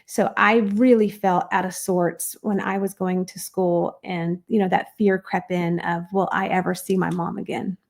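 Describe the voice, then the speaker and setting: A woman guest on a podcast, telling her life story in an earnest, animated way. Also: on the low side, unhurried, creaky, few pauses.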